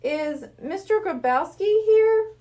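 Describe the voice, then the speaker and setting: A woman's voice: very high voice, lively intonation, slow pace, dark tone, pitched above the performer's own voice, exaggerated voice. A woman playing an earnest, polite young boy in a children's storybook, with a questioning manner. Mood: puzzled.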